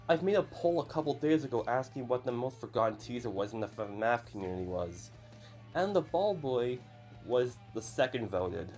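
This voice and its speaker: A man's voice: medium pitch, darkish tone. A man in his early twenties with a youthful-sounding voice, talking casually as a video host with chatty, apologetic asides. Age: early 20s. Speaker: YouTuber host.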